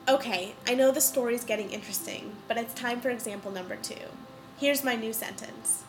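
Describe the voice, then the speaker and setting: A woman hosting a podcast, congested from a cold, chatting and explaining in a clear, casual way. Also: on the high side, a little fast, background noise. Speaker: podcast host. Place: indoors.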